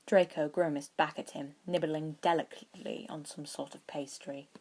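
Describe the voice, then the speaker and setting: Low-pitched woman narrating a story. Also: fairly even intonation, moderate pace, a little mellow. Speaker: audiobook narrator of fan fiction.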